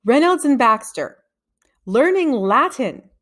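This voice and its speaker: A woman's voice: sits high, big pitch swings, slow, a bit bright, pitched above the performer's own voice, exaggerated voice. A woman voicing an indignant, suspicious female schoolteacher, emphatic and incredulous, in a storybook.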